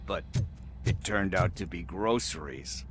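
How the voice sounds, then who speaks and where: An actor playing a chatty, evasive street hustler who talks in wheedling casual slang. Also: male voice, deep voice, a little slow, loud music behind the voice. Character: shifty street hustler, middle-aged man, chatty and evasive.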